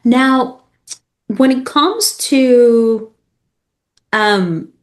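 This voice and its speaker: A woman's voice: on the high side, very slow. Woman interviewer, enthusiastic, long questions, recaps answers. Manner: enthusiastic, sums up the guest's answers. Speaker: podcast host, interviewer.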